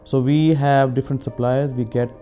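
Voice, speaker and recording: A man's voice: mid-range voice, steady tone, moderate pace, mellow. Man, earnest, plain-spoken, interview, music bed behind. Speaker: charity founder. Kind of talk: documentary interview.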